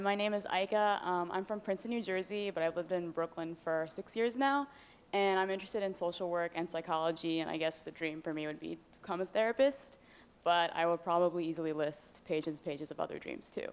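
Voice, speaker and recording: A woman's voice: fairly low pitch, brisk, clear, resonant tone. A jet-lagged woman introducing herself at an orientation in a chatty, self-deprecating way.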